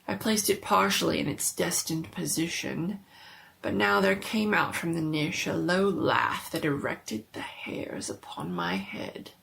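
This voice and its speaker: A woman narrates a gothic horror tale in a low, gravelly voice. Her delivery is very slow and theatrical, often sinking into a hush. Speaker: storyteller. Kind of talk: dramatic first-person horror story reading.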